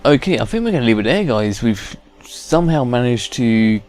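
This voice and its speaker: A man's voice: medium pitch, big pitch swings, normal speed, darkish tone. A man giving informal, unscripted let's play commentary, thinking aloud as he goes. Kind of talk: casual unscripted game commentary. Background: game music.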